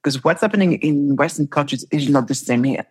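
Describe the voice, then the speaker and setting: Husky-voiced woman telling lively stories. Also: sits low, a little fast. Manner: animated storytelling, laughs often, repeats words. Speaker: entrepreneur guest. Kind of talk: conversational interview.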